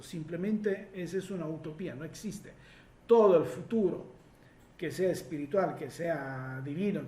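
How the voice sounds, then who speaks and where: A man from Italy speaking Spanish with an Italian accent, a regression therapist answering listener questions in a reflective, explanatory way with many pauses. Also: fairly high pitch, mellow, echoey room, slight background noise.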